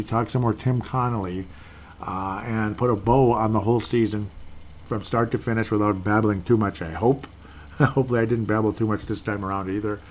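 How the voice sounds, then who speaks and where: A man's voice: lowish voice, a little mellow. A man hosting a casual solo podcast, reading out listener comments in an easygoing, rambling way and correcting himself as he goes. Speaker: podcast host.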